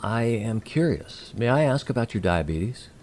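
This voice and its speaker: A man playing a polite, curious alien emissary in an audio drama, with a gravelly voice and formal, measured, precise speech. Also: medium pitch, lively intonation, darkish tone, a little hiss or hum, close to an everyday voice. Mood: earnest, measured.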